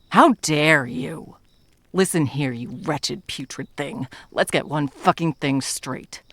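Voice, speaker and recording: A woman's voice: low-pitched, a bit bright, dry recording. A woman playing a bitter, sarcastic monster hunter and betrayed lover in a dramatic ASMR roleplay, mocking and foul-mouthed. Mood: furious.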